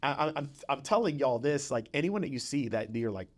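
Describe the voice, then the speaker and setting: A male podcast host with a mid-pitched voice talks in a conversational, motivational way. He drives points home by repeating phrases and keeps checking in with short tags like "right?" and "okay?". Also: expressive, a little fast.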